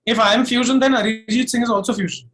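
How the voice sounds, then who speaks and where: A man's voice: very high-pitched, fairly even intonation, fast talker, a bit bright. A man with an Indian accent, a singer-songwriter giving earnest, emphatic, articulate answers in a conversational interview.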